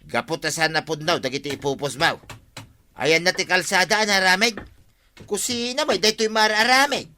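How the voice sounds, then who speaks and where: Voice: mid-range voice, moderate pace, pushed and bright, slight background noise, close to an everyday voice. A performer with an Ilocano accent playing a blunt, quarrelsome villager in a radio comedy drama, exclamatory and confrontational.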